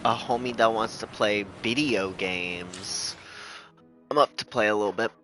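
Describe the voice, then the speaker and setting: A man's voice: lowish voice, unhurried, music in the background. A man giving casual, offhand gameplay commentary with slangy reactions as a streamer. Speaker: game streamer.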